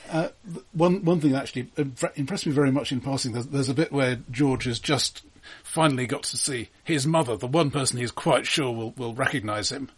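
A man with a somewhat husky voice talks casually as a film podcast co-host. He sits at a middling pitch and picks his way through his thoughts haltingly, with a wry, conversational touch.

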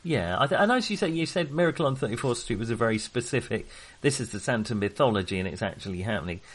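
A man's voice: mid-range voice, animated, fast, husky. A man co-hosting a podcast, chatting casually about films in a mild, conciliatory, hedging manner. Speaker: podcast co-host. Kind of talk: casual film chat.

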